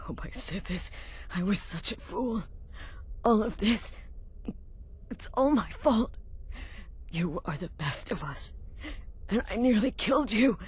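An actress playing a dying, remorseful leader of assassins in a fantasy video game, weak, gasping and breathy. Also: female voice, on the high side, heavily acted. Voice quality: husky, breathy.

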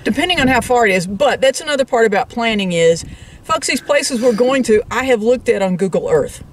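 A woman's voice: on the high side, animated, brisk, recorded from a distance, slight background noise. A woman co-hosting a travel vlog, explaining things in casual, conversational talk addressed straight to viewers. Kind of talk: casual explanatory chat to camera.

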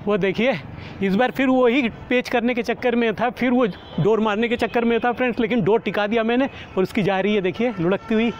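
A middle-aged man speaks in a notably high voice, explaining things casually as a vlogger would. He addresses his viewers as friends. He is recorded outdoors on a lapel mic, with faint urban and traffic noise behind him. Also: fairly quick, highs cut off. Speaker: kite flyer presenting his vlog. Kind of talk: casual vlog commentary. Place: outdoors on an open rooftop. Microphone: clip-on lapel microphone. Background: faint outdoor city and vehicle noise.

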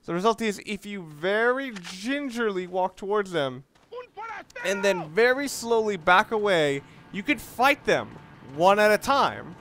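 A man's voice: high voice, lively intonation, measured pace, fairly bright tone. A man giving casual, rambling video game commentary, explaining his strategy as he plays and swearing mildly. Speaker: gamer, let's play host. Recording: noisy, game ambience behind voice. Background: video game sounds.

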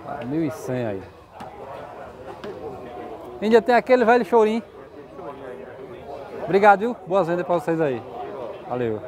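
Northeastern brazilian guy, kinda high voice, chatty market host repeating stuff back, busy crowd behind him. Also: very animated, unhurried, long pauses. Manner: friendly, repeats sellers' answers back. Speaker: host interviewing livestock sellers at a market. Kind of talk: casual street interviews and commentary. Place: outdoor livestock market. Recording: busy crowd and animal noise.

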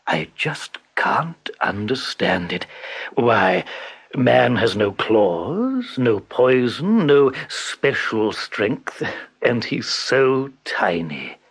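A man voicing a sly, purring tiger, low and slowly whispered, with a husky edge. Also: sing-song, exaggerated voice. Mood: scornful whisper.

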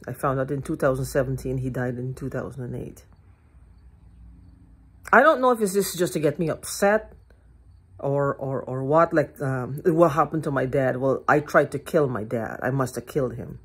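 An older woman ranting to her phone camera in a living room, heated, profane and repetitive, with a hoarse throat. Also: a bass voice. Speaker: vlogger. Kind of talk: agitated rambling monologue to camera. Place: living room at home, indoors. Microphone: phone held at arm's length.

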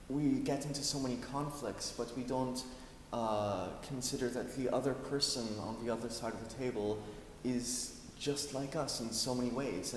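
A man's voice: medium pitch, a little hiss or hum. A young man from the United States speaking sincerely and steadily to camera in a large indoor hall. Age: young adult. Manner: sincere, measured with fillers. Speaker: interviewee. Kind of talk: casual speech to camera. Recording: echoey hall reverb.

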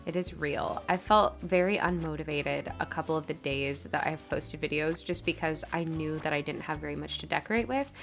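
A woman's voice: low-pitched, moderate pace, gravelly, hardly any pauses, fairly bright tone, soft background music. A woman with a stuffy, congested nose, chatting casually over her gameplay as a channel host. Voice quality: slightly nasal. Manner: chatty, upbeat, rambling.

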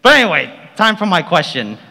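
A man's voice: high voice, big pitch swings, brisk, vocal fry, pushed and bright. A man speaking casually as an audience member, gushing like an eager fan before his question. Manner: effusive, gushing. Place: large convention panel room. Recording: reverberant hall, audience microphone.

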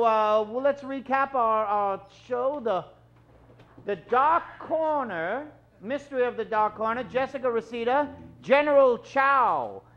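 A man's voice: very high-pitched, fairly bright tone. A middle-aged man hosting a live improvised radio show on a theater stage, in a theatrical, courteous old-time announcer style. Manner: theatrical, hesitant, courteous showman patter. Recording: dull, lo-fi live stage recording.

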